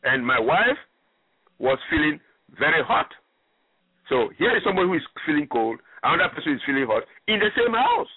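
A man's voice: on the high side, sing-song, fairly quick, husky, dry recording. Middle-aged man from Gabon, native French speaker; repetitive, emphatic storyteller, dry close sound. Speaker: guest. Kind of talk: personal story on call-in radio. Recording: tinny phone line.

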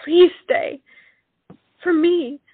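Woman playing earnest high-voiced young lady Roxane, muffled. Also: steady tone, drawn-out words, no room sound, exaggerated voice. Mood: hesitant, tender. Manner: pleading, earnest. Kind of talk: romantic audio drama acting. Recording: muffled lo-fi audio, music underneath.

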